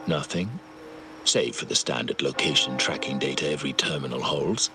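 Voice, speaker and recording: An actor playing a cold, calculating middle-aged crime family boss in a video game, speaking in a measured, formal, menacing way. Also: male voice, very deep voice, over loud music. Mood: calm, coaxing. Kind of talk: dramatic video game voice acting.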